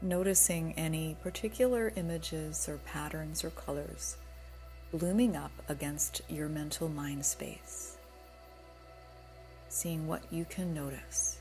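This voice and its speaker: A woman with a low voice leads a guided relaxation. Her delivery is gentle and very slow, softening at times into breath. Quiet music with singing bowl tones plays behind her. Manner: soothing, gentle, unhurried with soft breathy moments. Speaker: yoga nidra guide.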